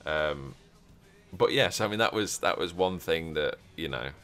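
A man's voice: extremely low pitch, lively intonation, unhurried, fairly bright tone, soft background music. A man hosting a roleplay podcast, chatting casually and rambling with many fillers and restarts. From Europe. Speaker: podcast host.

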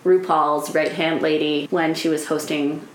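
Give me a woman co-hosting a podcast, a bit low-pitched, chatty with filler words. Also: steady tone, unhurried, slight background noise. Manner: hedging, filler-heavy explainer. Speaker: podcast co-host. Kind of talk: casual chat. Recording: roomy echo.

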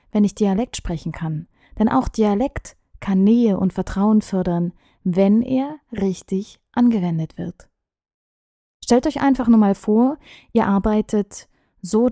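Woman coach, mellow voice, measured and trained delivery, dry close sound. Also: medium pitch, no room sound. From Thuringia, Germany. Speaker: speech and charisma coach. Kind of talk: scripted talk to camera.

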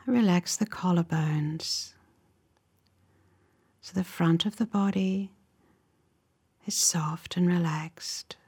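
Breathy, slow-paced woman guiding relaxation. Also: on the low side. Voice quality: soft, breathy, often near a whisper. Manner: soothing, gentle, measured delivery with short phrases and pauses. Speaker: guided meditation instructor. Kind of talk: guided relaxation meditation, calm step-by-step instructions.

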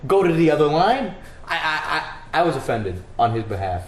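A man's voice: a little high, normal speed, echoey room. A man from New York with a slight Brooklyn accent, co-hosting a casual podcast chat and acting out the people in his stories. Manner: animated storyteller, acts out quoted people. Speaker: podcast co-host.

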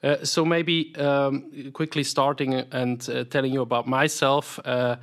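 A man in his thirties with a Swiss accent, answering interview questions about his career in a halting way, full of fillers and restarts. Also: mid-range voice, little pitch movement. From Switzerland. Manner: hesitant, many fillers and repeated words. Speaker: private equity investment professional, guest.

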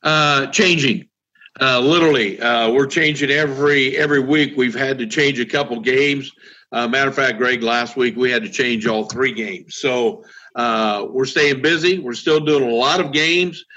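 Halting man talking basketball. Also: medium pitch, pushed and bright. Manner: halting, heavy use of "uh" fillers. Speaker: guest basketball commentator. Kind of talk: casual sports talk. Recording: dull, lo-fi audio.

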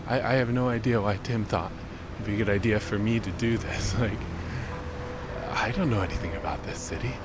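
Hesitant, lowish-voiced man recording an audio diary. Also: a little fast, noisy background. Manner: hesitant, self-deprecating. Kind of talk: casual spoken audio diary. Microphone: handheld microphone.

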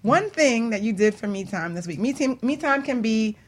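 A woman's voice: fairly high pitch, lively intonation, normal speed. A woman co-hosting a casual group talk with playful, teasing banter. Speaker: talk show co-host.

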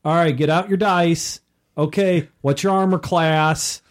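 A man's voice: fairly high pitch, steady tone, unhurried. A man co-hosting a casual chat, slipping into little acted snippets as he makes his points. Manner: acts out snippets of dialogue while making a point. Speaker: podcast co-host. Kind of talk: casual chat about tabletop role-playing.

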